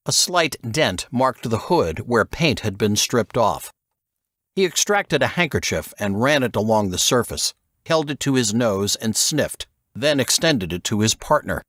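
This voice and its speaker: Male narrator, fiction audiobook, slightly deep voice, animated intonation, dry sound. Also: dry recording.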